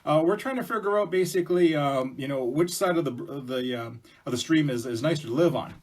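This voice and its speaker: Guy doing little forest animals in a story, high voice, eager n kinda rambly, asking for help. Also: brisk, recorded from a distance, close to an everyday voice. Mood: pleading. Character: friendly, pleading small woodland animals of a folk tale.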